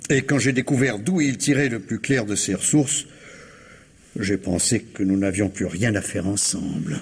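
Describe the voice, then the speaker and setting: Husky, fastidious older retiree. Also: male voice, fairly low pitch, full character voice. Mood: grave, reserved. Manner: hesitant, formal, precise. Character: fastidious retired civil servant of sixty-one, reserved, courteous. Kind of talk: French radio mystery play acting.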